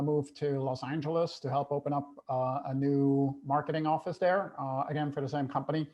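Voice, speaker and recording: A middle-aged man from Switzerland telling his life story in a relaxed interview, with many "uh" fillers. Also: on the high side. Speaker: guest, travel company co-founder. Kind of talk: casual interview chat.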